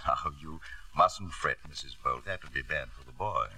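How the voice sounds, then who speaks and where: An actor playing a clinical, gently authoritative medical specialist in a 1950s radio drama, pausing and soothing evasively. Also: male voice, extremely low pitch, heavily acted.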